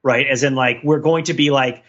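A man with a bright, forceful voice talks through ideas as a podcast co-host. He is casual but analytical, wandering into asides and hedges as he goes. The audio is slightly dull and band-limited. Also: mid-range voice, steady tone, moderate pace. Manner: discursive, thinking aloud, hedging with many asides. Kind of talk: casual panel discussion, analytical.

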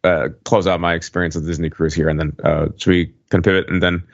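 A man chatting casually as a podcast regular, telling anecdotes in a halting, meandering way. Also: low voice, little pitch movement, vocal fry. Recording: dull lo-fi, roomy echo.

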